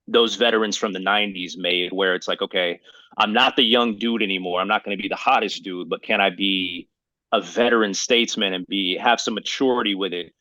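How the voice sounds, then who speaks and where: A man's voice: on the low side, bright, forceful tone, no room sound, poor audio. A man hosting a casual hip-hop podcast debate, speaking in an emphatic, slangy way and repeating himself for emphasis. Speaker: podcast host.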